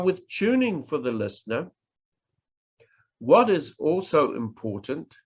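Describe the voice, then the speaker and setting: Slow-speaking New Zealand man lecturing. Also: medium pitch. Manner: measured, deliberate, stressing words for demonstration. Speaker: professor giving a workshop. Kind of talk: slow instructional presentation. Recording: dull lo-fi recording.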